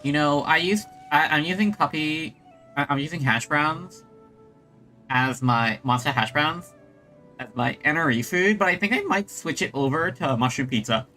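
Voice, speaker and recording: A woman's voice: sits low, normal speed, sharp tone, faint music behind. A woman giving casual, rambling and chatty commentary while playing a video game as a streamer.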